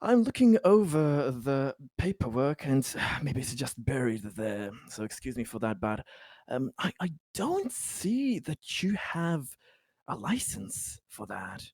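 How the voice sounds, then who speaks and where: Casting: man as fussy male colony official for tabletop game, probing, halting, animated intonation, dry close sound. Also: mid-range voice, no echo, heavily acted. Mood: officious, probing. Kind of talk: improvised tabletop roleplay acting.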